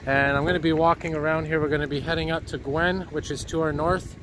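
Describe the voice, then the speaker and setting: A man, slightly hoarse from a cold, narrating as he walks by a flooded river with a handheld camera. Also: on the high side, steady tone, fast talker. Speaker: citizen video reporter. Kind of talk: walking commentary. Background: street and water noise.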